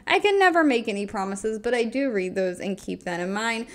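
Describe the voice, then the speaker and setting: A woman's voice: a little high, lively intonation, fairly quick. A woman hosting an online video, reading through listings in an upbeat, conversational, emphatic way, part scripted and part informal. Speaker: video host presenting remote job listings. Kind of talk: informal scripted screen-recording narration.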